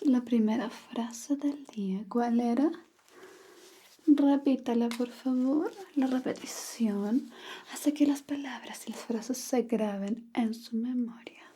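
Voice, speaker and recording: Woman, soft teasing whisper, breathy, mellow tone, ASMR tutor. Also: a little high, takes it slowly. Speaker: ASMR roleplay Spanish tutor.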